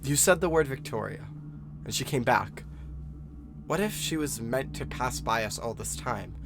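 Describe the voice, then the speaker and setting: A man's voice: medium pitch, expressive, moderate pace, gravelly, over music. A man acting in an audio drama as a bookish, insistent young male student with a pressing, controlling manner. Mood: urgent, persuasive. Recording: noticeable background noise and ambience.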